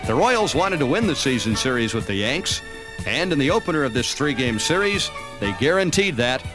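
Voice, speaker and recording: A man's voice: medium pitch, big pitch swings, normal speed. An American man reading scripted narration for a baseball season recap. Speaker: documentary narrator. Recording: music under the voice. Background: background music.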